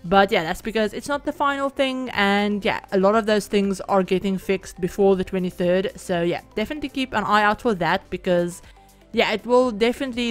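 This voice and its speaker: Chatty Afrikaans-speaking woman, rambling voiceover. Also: mid-range voice. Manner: rambling, chatty, many "like" and "yeah" fillers. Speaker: Sims speed-build YouTuber. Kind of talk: casual game voiceover. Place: home, at night.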